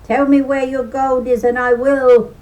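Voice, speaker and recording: A woman voicing a big, greedy, eager young man who blusters and booms in a children's folk tale. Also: little pitch movement, unhurried, darkish tone, far from the mic, poor audio. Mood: booming, blustering. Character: big greedy blustering Irish farm lad.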